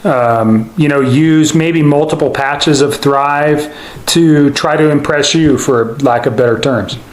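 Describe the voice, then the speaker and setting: An American man working as an investigator, conducting an informal interview with casual, halting, rambling questions. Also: a little high. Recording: echoey room, faint noise.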